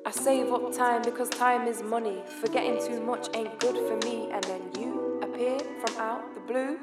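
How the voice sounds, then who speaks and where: A woman's voice: fairly high pitch, fairly even intonation, moderate pace, close to an everyday voice. A woman performing a wistful, yearning love lyric as spoken word in an audio drama. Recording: echoey, loud music behind.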